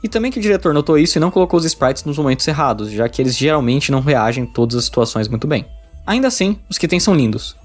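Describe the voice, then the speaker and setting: Fast-talking Brazilian man narrating a review. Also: a little high, big pitch swings, soft background music. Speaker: visual novel reviewer. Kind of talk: scripted video review narration.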